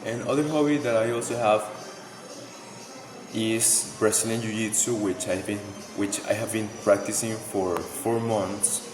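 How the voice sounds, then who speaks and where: Young Ecuadorian exam candidate, fluent and polite, lowish voice, echoey room, noisy behind. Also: male voice, fairly even intonation, unhurried, music in the background. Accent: Ecuadorian Spanish. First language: Spanish. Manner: fluent, polite, structured answers. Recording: echoey room with clear background noise.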